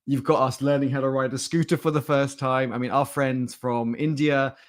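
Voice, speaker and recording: A man's voice: mid-range voice, steady tone, moderate pace. A man giving a conversational talk to a livestream audience in a jokey, rambling, informal way. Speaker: conference speaker.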